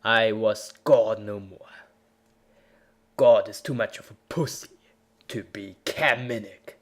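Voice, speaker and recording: A man's voice: lowish voice, slow pace. A man giving an over-the-top, bombastic dramatic reading of a story as an amateur narrator. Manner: theatrical, bombastic, swinging from whispers to shouts. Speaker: amateur reader of fan fiction.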